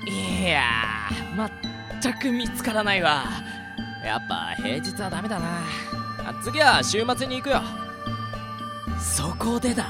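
A woman's voice: mid-range voice, big pitch swings, a little slow, loud music behind the voice. A woman playing a cheerfully foolish, girl-crazy teenage schoolboy in a radio drama, with breezy, boastful slang. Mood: boastful, eager. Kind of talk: Japanese amateur radio drama acting.

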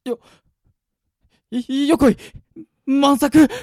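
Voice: high-pitched, steady tone, extremely slow, a little mellow, a little hiss or hum. An actor voicing a timid, panicky young male game contestant who stammers and pleads in a radio drama. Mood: panicked.

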